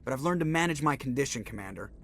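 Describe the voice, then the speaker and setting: A man's voice: mid-range voice, animated, racing, a bit bright. A man playing a cocky, sarcastic young starship pilot in a video game, with a brash, defensive manner. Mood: dry, sardonic. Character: cocky, wisecracking male starship pilot with brittle bones.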